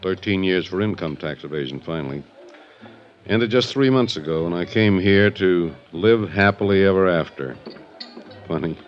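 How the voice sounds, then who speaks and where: A man's voice: sits low, normal speed, close to an everyday voice. An actor playing a world-weary, sardonic former Prohibition gangster in his fifties in a 1950s radio drama, guarded and musing. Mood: wry reminiscing. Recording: muffled 1950s radio recording, music behind.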